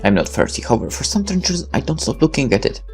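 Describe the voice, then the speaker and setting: A man reading a first-person visual novel aloud as narrator, dramatic and deliberate, with halting pauses. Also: mid-range voice, sing-song, faint music behind.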